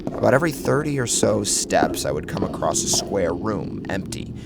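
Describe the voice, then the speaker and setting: A man's voice: deep voice, very animated, moderate pace, gravelly. A man reading a scripted first-person horror story as a narrator, with a dramatic, theatrical delivery. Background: loud music.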